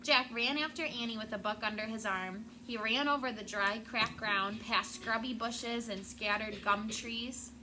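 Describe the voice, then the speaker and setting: A woman's voice: a little high, steady tone, a little slow, few pauses. A woman schoolteacher reading a storybook aloud to children. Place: classroom. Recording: clear background noise.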